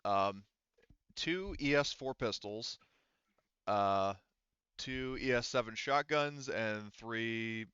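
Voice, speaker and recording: A man acting as game master of a tabletop roleplaying game, improvising in a halting way and thinking aloud. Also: medium pitch, a bit bright, clean tone, no room sound, muffled recording.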